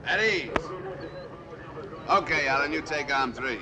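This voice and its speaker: A man playing a commanding army sergeant in an old film comedy, giving clipped, deadpan orders. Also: a little high, a little slow, crowd noise. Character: brisk, commanding male army drill sergeant. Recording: very noisy outdoor film soundtrack.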